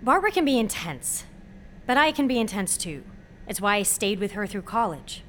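A woman's voice: mid-range voice, sing-song, normal speed, fairly bright tone. Brief: actress as intense young woman, twenties, wry and guarded, naturalistic audio drama, faint ambient noise. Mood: earnest, guarded.

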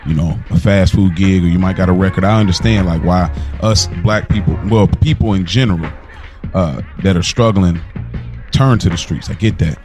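Animated American man over music. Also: sits low, normal speed. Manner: animated, slangy, repeats phrases for emphasis. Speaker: podcast co-host. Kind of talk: casual hip-hop talk podcast. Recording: music playing underneath.

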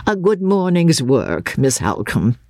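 Woman doing old victorian lawyer guy telling a story, formal and measured, husky, really expressive, dry close sound. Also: low-pitched, no room sound, full character voice. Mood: probing, courteous. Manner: formal, deliberate. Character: measured, self-assured elderly gentleman lawyer, philosophical. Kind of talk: first-person literary narration.

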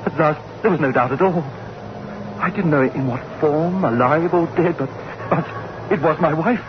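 A man playing a jittery, guilt-ridden husband in a 1960s radio horror drama, tense and halting. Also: a little high, noisy background, natural voice. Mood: haunted, fearful. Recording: muffled old radio recording, loud music.

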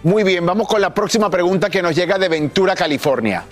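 A man's voice: sits high, fairly quick, faint music behind. A man hosting a live morning television interview, moving between questions and guests. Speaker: morning TV show host.

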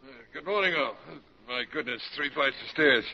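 An actor playing a placid, shady doctor in a 1950s radio drama, soothing and dismissive, with hesitant reassurance. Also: male voice, medium pitch, animated, moderate pace, pushed and bright. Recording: muffled, noisy 1950s radio broadcast.

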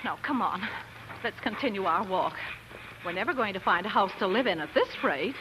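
An actress playing a spirited, proper young pioneer wife who also narrates an old-time radio drama, with genteel, emotive delivery. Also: female voice, medium pitch, animated, brisk, background noise, natural voice. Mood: composed, proud.

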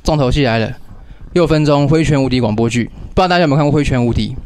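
A young man with a Taiwanese accent hosting a casual radio chat, halting and joking about himself. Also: mid-range voice, a little mellow. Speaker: radio show host and comic artist.

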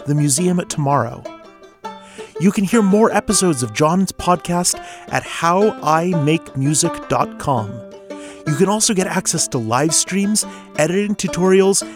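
A man hosting a podcast, reading a scripted introduction. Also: on the high side. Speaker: podcast host, composer. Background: plucked guitar music.

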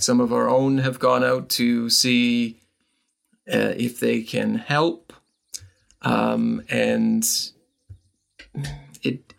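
A man running a tabletop game as game master, narrating slowly and haltingly with many fillers. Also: mid-range voice, vocal fry, a bit bright.

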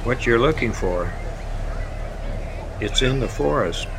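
A mysterious guide speaking within a dream. His pitch sits in the middle of the male range. Clear outdoor noise surrounds him in this audio drama scene. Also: animated, slow. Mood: cryptic.

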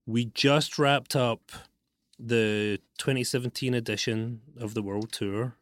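A man's voice: medium pitch, fairly even intonation, slow pace, a bit bright, no echo, studio quality. A man hosting a podcast, asking long, loose questions peppered with "like" and "you know". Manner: rambling questions full of likes and you-knows. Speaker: podcast host. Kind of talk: casual interview questions.

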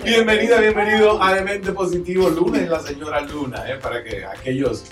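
A male talk-show host with a slightly rough voice chats playfully and laughs often. He sits a little back from the mic in an echoey room, with soft music under him. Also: sits high, fairly quick, distant mic. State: voice a bit rough today. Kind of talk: casual chat.

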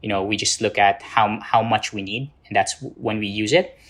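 A man's voice: on the low side, fairly even intonation, normal speed, a bit bright. A man from the Philippines giving explanatory answers as an interview guest. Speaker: interview guest, social entrepreneur.

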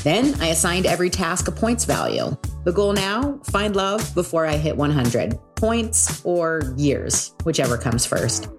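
A woman's voice: sits low, very animated, unhurried, hardly any pauses. Upbeat woman in her forties over music. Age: 40s. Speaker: podcast host. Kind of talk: scripted show intro.

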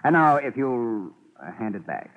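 An American man playing a dry, smugly patient police official in a 1950s radio drama, with a husky voice and mock-officious deadpan delivery. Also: medium pitch, moderate pace. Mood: teasing. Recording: muffled, phone-like 1950s radio recording.

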